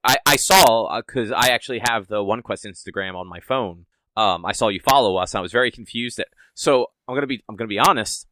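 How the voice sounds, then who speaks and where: A man hosting a casual gaming podcast, chatting loosely with frequent swearing and quick excited bursts. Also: lowish voice, no echo, low-quality recording. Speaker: podcast host. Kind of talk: casual chat. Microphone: home podcast mic on a laptop.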